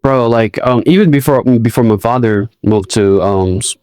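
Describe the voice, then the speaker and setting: A man's voice: medium pitch, normal speed, darkish tone, clean tone, dry recording. A man hosting a casual interview, asking friendly questions with many fillers and hesitations. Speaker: host.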